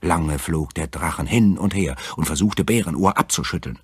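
A man with a husky voice narrating a scripted fairy tale as an audiobook storyteller. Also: sits low, moderate pace, no echo, studio quality.

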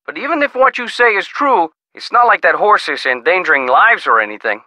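An actor playing an easygoing, skeptical small-town sheriff in a video game, with a folksy, casual manner. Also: male voice, high voice, big pitch swings, sharp tone, dry recording, exaggerated voice. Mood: dismissive, easygoing. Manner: folksy, casual, drawn-out reactions. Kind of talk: video game character dialogue.

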